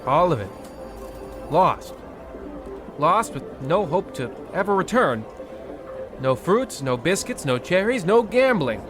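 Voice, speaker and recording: A man playing a pompous, excitable husband in a mock old-time radio comedy, theatrical and stammering when flustered. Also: fairly high pitch, slow pace, pauses often, over music. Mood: huffy. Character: Percival, pompous, excitable husband with a gambling weakness.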